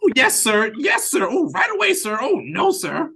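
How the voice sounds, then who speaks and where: Audio play customer, male actor: cheeky and talkative, very lively intonation, polite to the point of mockery. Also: extremely high pitch, normal speed, vocal fry, husky, exaggerated voice. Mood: teasing, mocking. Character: chatty, cheeky, hungry male restaurant customer. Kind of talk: audio drama read-through. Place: remote reading over a video call. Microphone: video call.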